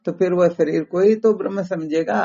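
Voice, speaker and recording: A man's voice: sits high, steady tone, moderate pace, vocal fry, dark tone. A man giving a didactic spiritual lesson over a phone call, explaining step by step and posing rhetorical questions. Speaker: Vedanta spiritual teacher. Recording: muffled lo-fi phone call recording.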